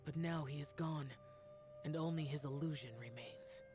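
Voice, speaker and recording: An actor playing a stoic, ancient demon warrior guardian who speaks in a solemn, measured way. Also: male voice, fairly high pitch, natural voice. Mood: grim. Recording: game cutscene with soft music.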